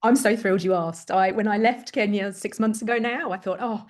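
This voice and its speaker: Woman, middle-aged, English accent, from Greece; interview guest, candid, animated, self-deprecating storyteller. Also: mid-range voice, fairly quick. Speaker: parent coach guest. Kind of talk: conversational interview.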